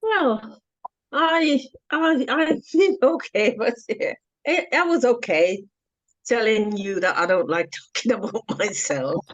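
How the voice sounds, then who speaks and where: A woman's voice: on the high side, expressive, slow pace, no room sound, dull audio. A shy woman in a group discussion, stammering and laughing nervously as she talks about herself. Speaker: group participant.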